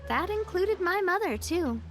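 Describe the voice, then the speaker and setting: A woman's voice: very high-pitched, animated, a little slow, a bit bright, faint music behind, exaggerated voice. A woman playing a cheerful, confident young lady leader in a video game, easygoing and frank. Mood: wry, frank.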